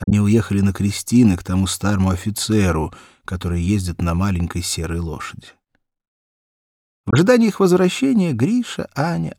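A man reads a children's story in a husky voice with highly animated intonation. His phrasing is deliberate and literary. The recording is dry and close, with no room around the voice. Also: dry recording. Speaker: audiobook narrator. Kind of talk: expressive scripted narration of a children's story.

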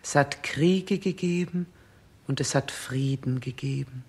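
An actress in a 1960s German radio play playing a stern, cold queen with severe, measured declamation. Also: extremely low pitch, a little mellow, slightly rough, exaggerated voice. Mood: solemn, insistent.

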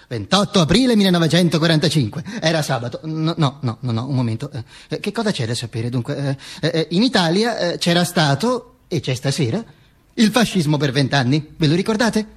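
A man's voice: fairly high pitch, expressive, slightly rough. An actor playing a vain, grandiose dead dictator who narrates his own afterlife in a pompous theatrical monologue with asides to the audience. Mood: flustered, halting. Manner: pompous, self-aggrandizing, halting asides to the audience.

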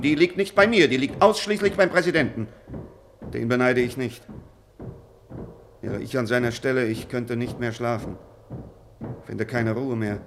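An actor in a German radio play as a dutiful, haunted drill officer, shifting between barked commands and brooding, confiding talk. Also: male voice, mid-range voice, a bit bright, a little hiss or hum, natural voice. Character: barking drill officer, weary, haunted, dutiful, grieving father.

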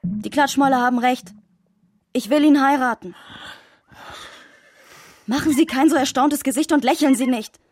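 A woman's voice: sits high, moderate pace, pauses often, dry recording. An actress playing an earnest, composed young lady in a radio play.

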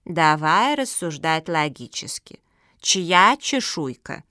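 A woman voicing a greedy, haughty old female turtle who drawls slowly and scornfully in a storybook reading. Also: low voice, very animated, pushed and bright, dry recording, clean studio sound, full character voice. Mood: imperious, mocking.

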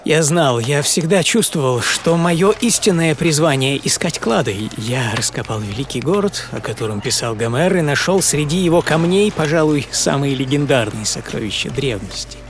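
An actor playing an obsessive middle-aged entrepreneur in a dramatic first-person radio monologue, speaking theatrically with pauses and half-whispered phrases. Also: male voice, a little high, animated, slight background noise. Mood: proud, impassioned. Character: obsessive middle-aged entrepreneur and treasure hunter recalling boyhood. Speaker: author and performer of a radio programme. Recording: music under studio voice.

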